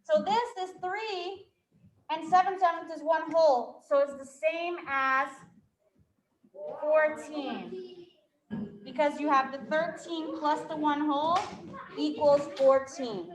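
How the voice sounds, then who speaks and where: A woman's voice: high-pitched, slow pace, roomy sound, poor audio. A woman with a New York accent teaching a fifth-grade math class, working problems aloud and quizzing her students. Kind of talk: live classroom math lesson.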